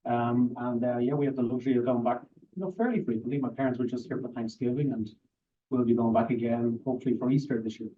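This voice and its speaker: A man in his forties with an Irish accent, answering interview questions at a council meeting in a measured, courteous way. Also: medium pitch. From Ireland. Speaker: board applicant. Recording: tinny, distant council-room audio.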